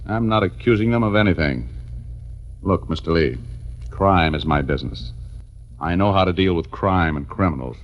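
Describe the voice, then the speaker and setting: A man's voice: sits low, lively intonation, moderate pace, pauses often. An actor playing a dogged, wry, tough male private investigator in 1940s radio style, insistent but casual. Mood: insistent, probing. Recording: muffled old 1940s radio recording.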